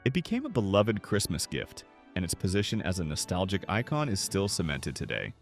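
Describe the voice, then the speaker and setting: Male narrator, lower pitch, animated intonation, scripted nostalgic documentary read, measured delivery. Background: background music.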